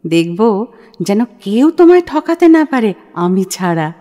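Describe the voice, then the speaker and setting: A woman's voice: mid-range voice, very animated, a little slow, mellow. A woman playing a shrewd, self-assured young woman in an audio play, speaking in a forthright, persuasive, dramatic way. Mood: persuasive, daring. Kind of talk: audio play acting of a literary short story. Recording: long hall-like reverb.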